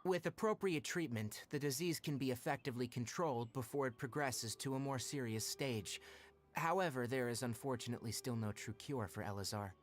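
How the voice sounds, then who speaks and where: A man voicing a composed, frank, protective young forest ranger in an anime video game, speaking in a measured, articulate way. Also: mid-range voice, lightly acted. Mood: grave, explanatory. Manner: measured, articulate, matter-of-fact.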